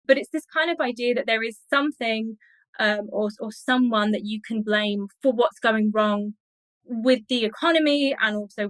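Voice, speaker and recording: A woman giving measured, explanatory answers as an academic guest in a thoughtful interview. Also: fairly high pitch, a bit bright, no room sound, poor audio. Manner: measured, explanatory, uses everyday examples.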